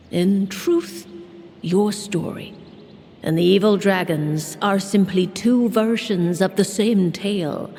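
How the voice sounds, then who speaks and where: A woman playing a solemn mystical figure who tells a legend in a measured voice and at times drops to a whisper. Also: medium pitch, darkish tone, close to an everyday voice. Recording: game dialogue with long reverb.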